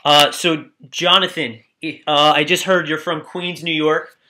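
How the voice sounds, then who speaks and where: A slightly high-voiced man with a bright, clear tone runs the discussion casually. He keeps things friendly and upbeat between the two guests. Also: steady tone, measured pace, slight background noise. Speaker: discussion moderator. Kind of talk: casual moderating. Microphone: video call.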